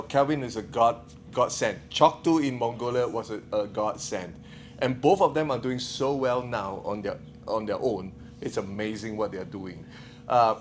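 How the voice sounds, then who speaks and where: A man who is a missionary filmmaker, talking casually on a conference panel, repeating words and laughing often. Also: medium pitch. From Singapore. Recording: echoey room with loud background hiss.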